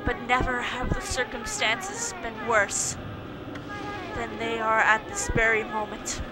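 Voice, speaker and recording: A woman voicing a gentle female tree spirit from a video game, speaking in a formal, reverent and pleading way. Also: a little high, slow pace, heavy background noise. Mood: solemn, pleading. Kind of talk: video game cutscene voice-over, read aloud.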